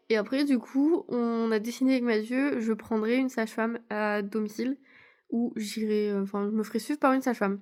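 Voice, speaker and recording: A woman's voice: on the high side, a little mellow. A young woman from France talking casually to camera in a diary vlog, chatty, with many fillers and restarts. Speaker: vlogger.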